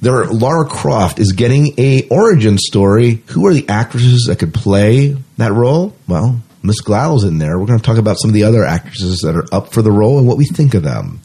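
A man co-hosting a podcast in casual chat, with jokey banter and words repeated in quick agreement. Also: lowish voice, big pitch swings, mellow, husky. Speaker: podcast co-host. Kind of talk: casual chat, running through the show's lineup.

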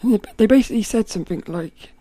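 A woman with a rough, cracking voice, hosting a podcast and thinking aloud in a rambling way, often laughing. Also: medium pitch, fast, warm tone, no room sound. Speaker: podcast host. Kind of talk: casual reflective monologue.

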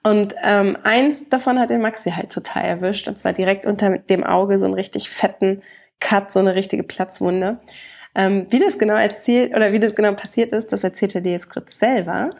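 A woman's voice: medium pitch, fairly even intonation, moderate pace, creaky, darkish tone. A woman in her thirties hosting a casual personal podcast from her bedroom at home, chatty and rambling, her nose stuffy from a cold. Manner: chatty and rambling, with many restarts. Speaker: podcast host. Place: home bedroom, sitting on a bed in the evening.

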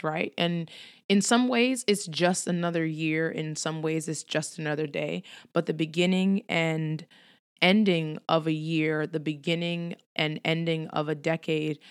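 A woman's voice: low-pitched, clear, resonant tone, no room sound, studio quality. A woman hosting her own podcast, a little under the weather, speaking in an earnest, encouraging way and repeating phrases for emphasis. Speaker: podcast host. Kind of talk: solo podcast talk.

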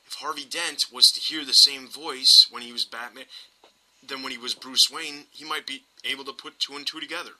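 A man's voice: mid-range voice, fairly even intonation, fairly quick, sharp tone, low-quality recording. A man hosting a podcast, talking casually about film in an emphatic, opinionated way. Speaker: podcast host.

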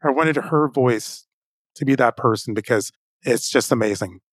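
A man's voice: medium pitch, normal speed, husky, no room sound, clean studio sound. A man hosting a podcast interview in a conversational style. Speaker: podcast host.

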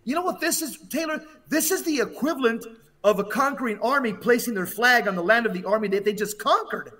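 Man, very high-pitched, bright forceful voice, religious podcast commentary, urgent, emphatic, preachy, repeats phrases. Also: brisk. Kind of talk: impassioned religious exhortation.

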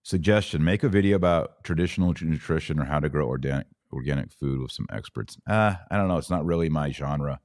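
A man's voice: rumbling low, fast, few pauses, a little mellow, no room sound, clean studio sound. A man speaking as a podcast host in an informal, emphatic, slangy way, chatting casually and answering live comments. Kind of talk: casual livestream chat answering viewer comments.

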